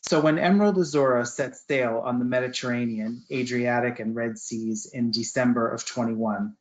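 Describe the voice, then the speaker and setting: A man's voice: medium pitch, normal speed, poor audio. A man giving a prepared promotional travel presentation in a polished, salesy way.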